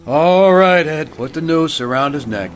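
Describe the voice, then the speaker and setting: A man's voice: fairly high pitch, animated, a little slow, music in the background. A man acting a stern, weary frontier sheriff in a radio drama, terse and commanding.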